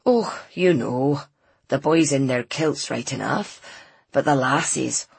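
Woman doing a male village doctor, Scottish accent, jovial, eager, emphatic, storybook character, low, husky. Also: takes it slowly, dry recording, heavily acted. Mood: genial encouraging.

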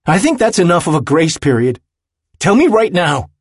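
A male narrator plays an anguished, furious man in an audiobook scene. His voice climbs well above an everyday pitch, rough-edged, with forceful demands and urgent questions. The sound is close and dry. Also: very animated, normal speed, no room sound. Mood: furious, desperate. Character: anguished, furious man confronting someone he loves. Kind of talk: audiobook character dialogue.

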